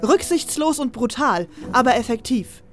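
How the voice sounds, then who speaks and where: Woman acting a blunt, sharp-tongued female fighter. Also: on the high side, lively intonation, moderate pace, close to an everyday voice. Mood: scolding. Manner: direct, scolding, argumentative. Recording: music under the voice.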